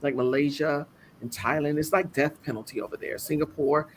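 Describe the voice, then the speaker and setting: A woman with a deep, resonant voice co-hosting a casual talk show, reading news aloud and then talking it over earnestly, with many restarts. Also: steady tone, a little fast, slight background noise.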